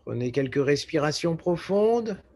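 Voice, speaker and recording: Male meditation guide, lightly raised pitch, very unhurried, calm and measured instruction. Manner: calm, measured, pausing between short phrases. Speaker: yoga nidra teacher guiding relaxation.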